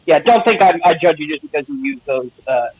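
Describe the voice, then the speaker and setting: A man calling in as a guest in casual stream chat, quick to agree with the host. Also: on the high side, animated, crowd noise. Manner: quick to agree, chuckles along. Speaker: guest caller. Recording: tinny phone-like line with faint noise.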